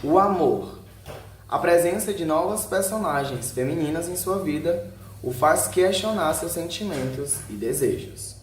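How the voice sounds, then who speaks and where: A Brazilian man delivers scripted narration in a voice pitched a little above average. He reads section by section, now and then tripping on a word. The sound is noticeably roomy, with faint noise underneath. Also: lively intonation, unhurried. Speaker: video narrator. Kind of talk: scripted narration read aloud.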